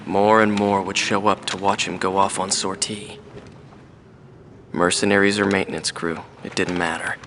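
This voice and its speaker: World-weary veteran fighter pilot, deep voice, reflective game interview, wistful pacing, noticeable ambient noise. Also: male voice, level tone, a bit bright. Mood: quiet admiration. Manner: measured, wistful storytelling. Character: weary, reflective male veteran mercenary pilot recalling the past. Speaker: former mercenary fighter pilot being interviewed. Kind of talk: documentary-style interview monologue from a video game.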